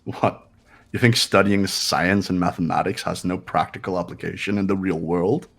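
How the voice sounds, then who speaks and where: An amateur actor voicing a haughty, condescending young man in a visual novel game, speaking formally and pompously with a husky voice. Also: low-pitched, steady tone, moderate pace, fairly bright tone, heavily acted. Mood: scornful. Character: haughty, sneering young male intellectual rival. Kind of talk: fan-dubbed visual novel game acting.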